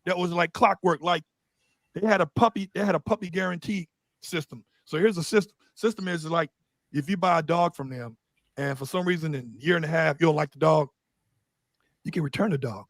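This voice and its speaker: A man with a fairly high voice tells stories in a relaxed, blunt way, full of slang. His voice is dry and close, with no room in it. He sounds like a guest in a casual sit-down interview. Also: brisk, no room sound. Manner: loose, streetwise storytelling with blunt slang. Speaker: interview guest, Doberman breeder.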